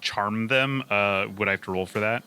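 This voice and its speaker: A man's voice: fairly low pitch, normal speed, bright, forceful tone, clear, resonant tone. A man playing a tabletop role-playing game, narrating his character's actions casually with a wry manner.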